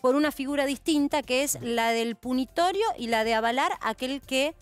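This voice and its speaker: A woman's voice: high voice, moderate pace, faint music behind. A woman in her thirties with an Argentine accent, hosting a studio news panel and explaining with rhetorical questions. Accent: Argentine Spanish. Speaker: news host.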